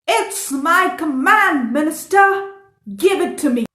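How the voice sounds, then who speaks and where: Headstrong young storybook king, woman acting: high, slow declamation, commanding, echoey recording with faint music. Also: lively intonation, a bit bright, full character voice. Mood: demanding, angry. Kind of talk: acted storybook dialogue.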